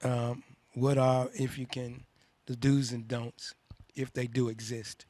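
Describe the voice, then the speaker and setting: A man moderating a panel, asking questions in a plain, flat way. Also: mid-range voice, slow, dry recording.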